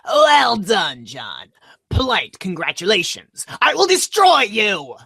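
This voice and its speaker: A man voicing a pompous, overly polite vagabond with a raspy cartoon-villain edge and florid, formal diction. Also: high-pitched, wildly swooping pitch, slow pace, bright, forceful tone, dry recording, full character voice. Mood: grand, menacing.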